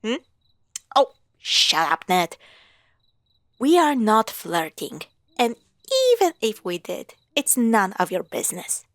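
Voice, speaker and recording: A woman playing a playful, sarcastic young girlfriend in a spooky roleplay audio drama, teasing and expressive. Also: mid-range voice, takes it slowly, no room sound. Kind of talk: spooky roleplay audio drama, acted one-sided dialogue.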